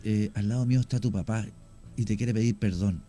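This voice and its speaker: Chilean guy telling ghost stories on the radio, kinda halting, warm mellow voice, soft music under it. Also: fairly low pitch. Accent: Chilean Spanish. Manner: storytelling, animated with hesitations. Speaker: guest, cemetery tour guide and actor. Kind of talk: casual radio conversation. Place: radio studio.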